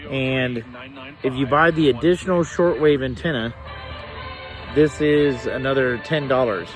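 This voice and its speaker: A man's voice: medium pitch, measured pace, music in the background. A man giving a casual hands-on demonstration as a hobbyist, hesitant and thinking aloud as he goes. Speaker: hobbyist reviewer demonstrating a shortwave radio.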